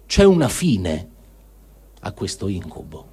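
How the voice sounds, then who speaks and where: A man giving a solemn dramatic reading of written testimony, in a measured, repeating cadence with deliberate pauses. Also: low voice, wildly swooping pitch, darkish tone, husky.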